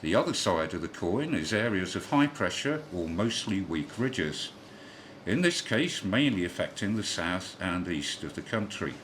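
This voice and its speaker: A man's voice: low-pitched, very animated, measured pace, a bit bright, a little hiss or hum. A man reading a scripted amateur radio news bulletin in a formal read-aloud delivery.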